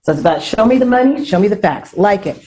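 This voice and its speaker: A woman's voice: medium pitch, expressive, fast talker, dark tone, a little hiss or hum. A woman hosting an online panel, talking promotionally and repeating phrases for emphasis. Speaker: host.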